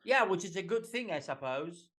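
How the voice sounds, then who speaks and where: A man in his forties from Greece talks loosely, slowing down with fillers and small laughs now and then. His voice sits fairly high, and he comes through a video call. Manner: relaxed, halting, many fillers and light laughs. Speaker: guest, executive coach. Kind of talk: casual interview chat.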